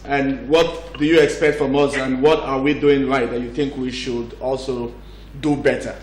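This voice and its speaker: A man's voice: medium pitch, level tone, moderate pace. A man with a Nigerian accent hosting a live television call-in show, courteously addressing callers as he goes. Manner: courteous, often answers with sir. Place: television studio. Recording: echoey studio, background noise.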